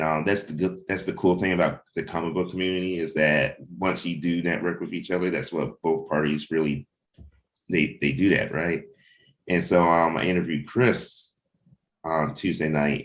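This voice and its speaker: Deep-voiced man chatting casually. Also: a bit bright. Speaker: comic book creator leading a team meeting. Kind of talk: casual chat, planning and anecdotes.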